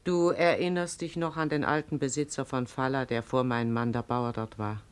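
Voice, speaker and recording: Radio drama role: older farm matriarch, grave and resolute, deliberate delivery in a low register. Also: female voice, fairly even intonation, clear, resonant tone. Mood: earnest, confiding. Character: grave, proud older farm mistress, widowed mother-in-law. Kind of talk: 1950s German radio drama acting.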